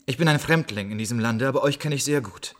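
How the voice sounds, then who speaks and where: A man playing a poor soldier bearing grim news in a radio drama, husky, grave and declamatory. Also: medium pitch, fast talker, a bit bright, natural voice. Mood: solemn. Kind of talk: theatrical radio drama storytelling.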